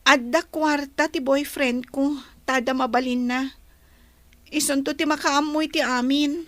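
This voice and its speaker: A woman's voice: sits high, steady tone, measured pace, fairly bright tone, no echo. A woman playing an ailing, pleading young daughter in a radio drama, with a Filipino accent. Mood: plaintive, resigned. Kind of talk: Ilocano radio drama acting.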